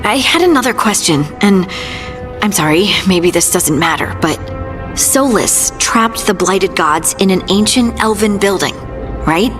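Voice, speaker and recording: A woman's voice: medium pitch, expressive, measured pace, over music, natural voice. A woman playing an earnest, troubled young adventurer in a fantasy game, with a hesitant, plain-spoken manner. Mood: puzzled.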